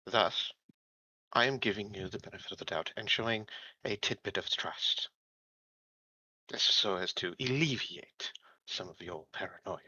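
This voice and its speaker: A man acts out a calculating corporate android for a tabletop game. The voice is very bright and hard-edged, on the low side, with formal, precise phrasing and quiet menace. The sound is dry and slightly dull. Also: husky, dry recording, heavily acted. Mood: cool, conciliatory. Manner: formal, measured, precise wording.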